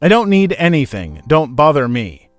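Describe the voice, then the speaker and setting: A man narrating an animated story voices a sleazy husband, shouting and flustered. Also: fairly high pitch, moderate pace, no echo, studio quality, faint music behind. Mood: angry. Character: sleazy panicking cheating husband.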